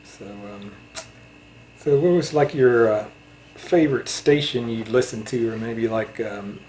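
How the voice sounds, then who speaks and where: A man in a casual interview chat, reminiscing in a halting way. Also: mid-range voice, a little mellow, a little hiss or hum, low-quality recording. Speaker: interviewer, channel host.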